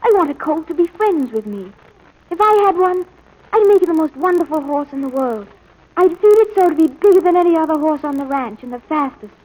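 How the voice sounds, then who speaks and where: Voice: sits high, lively intonation, a little fast, darkish tone, poor audio. A performer playing an earnest, dreamy young ranch boy in a 1940s radio drama, boyish and hesitant when making excuses. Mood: longing. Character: eager, dreamy young ranch boy, apologetic to his father.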